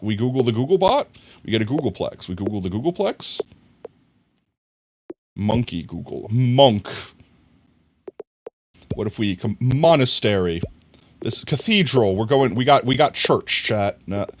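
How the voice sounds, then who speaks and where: A man talks his live viewers through a crafting game, his voice bouncing across a wide range. He thinks out loud and jumps into sudden high yelps when a result surprises him. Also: very animated, a little slow, long pauses, a little hiss or hum. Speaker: streamer. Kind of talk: live game stream commentary.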